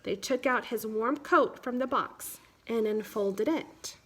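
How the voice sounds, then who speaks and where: A woman with a high-pitched voice reads a children's storybook aloud. She keeps her phrasing measured and simple, suited to young listeners. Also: fairly bright tone, husky. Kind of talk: picture-book read-aloud narration.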